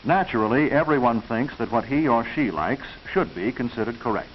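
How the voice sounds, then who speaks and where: An American man reading scripted narration for a 1940s educational film, instructive and proper, with measured enunciation. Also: medium pitch, expressive. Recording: hissy, muffled 1940s film soundtrack.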